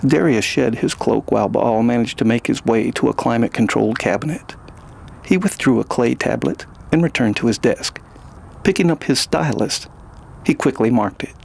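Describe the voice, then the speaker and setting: Male narrator for audio fiction, mid-pitched with heavy creak and a raspy edge, scripted storytelling. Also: normal speed, a little mellow.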